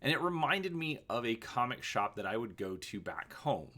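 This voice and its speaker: A man's voice: mid-range voice, big pitch swings, normal speed, bright, forceful tone. A man in his forties, host of a gaming channel, talking casually about books from his collection, with fillers and restarts. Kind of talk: casual show-and-tell talk.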